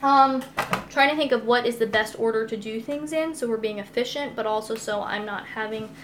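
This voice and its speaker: A young American woman with a fairly high voice talks in a chatty, giggly, informal way. She sounds like a live-stream host cooking at home and reading out viewer comments. There is faint noise around her voice in the kitchen. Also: brisk. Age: young adult. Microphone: phone or tablet, a short distance away.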